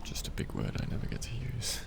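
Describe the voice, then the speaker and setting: A young man playing a gentle, teasing study partner in an ASMR roleplay, speaking softly and breathily, often close to a whisper. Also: close to an everyday voice. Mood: playful, warm. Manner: hushed, unhurried, hesitant with small hums. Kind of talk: soft-spoken ASMR roleplay. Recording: faint library ambience noise. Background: quiet library ambience.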